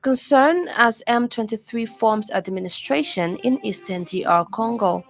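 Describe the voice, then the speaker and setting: A woman's voice: on the high side, measured pace, soft background music. A woman with a Zambian accent reading a scripted radio news bulletin as presenter.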